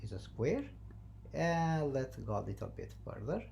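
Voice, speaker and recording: Non-native english speaker doing a math lesson, mellow voice, stops and repeats while writing, bit of hum behind. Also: mid-range voice, sing-song, creaky. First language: not English. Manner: halting, repetitive, thinking aloud while writing. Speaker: math teacher. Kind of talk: math lesson, working through a problem at the board. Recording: noisy, with a constant hum.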